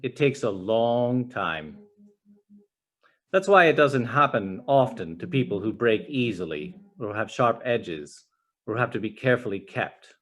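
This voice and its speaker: A gentle old toy horse from a storybook, played by a man reader, wise and truthful. He speaks softly and very deliberately, leaving space around his words. The pitch is middling and dips at phrase ends. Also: expressive. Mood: gentle, patient. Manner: slow, deliberate, soft delivery. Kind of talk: storybook reading.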